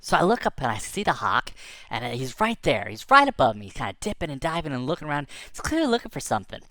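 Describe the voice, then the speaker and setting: A man's voice: fairly high pitch, big pitch swings, quick pace, sharp tone, no echo. A man casually telling a tall tale in the first person, rambling with many fillers. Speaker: storyteller.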